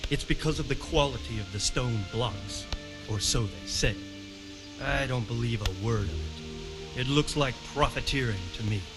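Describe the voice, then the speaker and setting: A man playing a wary, troubled medieval lord in a video game, speaking with measured authority. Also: medium pitch, music in the background, close to an everyday voice. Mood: troubled, suspicious. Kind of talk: cinematic game dialogue.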